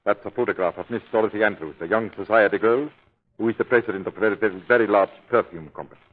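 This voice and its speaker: A thin, tinny 1930s radio recording holds an actor's performance of a male criminal hypnotist. His voice is on the low side. He is domineering and condescending, every line meant to menace and control. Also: rapid-fire, lightly acted. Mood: controlled menace. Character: smooth-talking, domineering criminal hypnotist, megalomaniac man. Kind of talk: 1930s radio villain acting.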